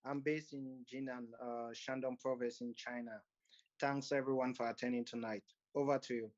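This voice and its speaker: Flat-voiced man introducing himself. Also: medium pitch, normal speed, dull audio. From Cameroon. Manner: brief, formal self-introduction. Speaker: co-moderator.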